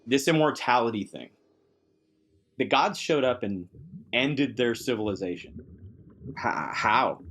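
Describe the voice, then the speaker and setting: A man's voice: mid-range voice, expressive, measured pace, pauses often, fairly bright tone, a little hiss or hum. A man talking casually and earnestly in a podcast discussion about games, with emphatic delivery. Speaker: podcast panelist.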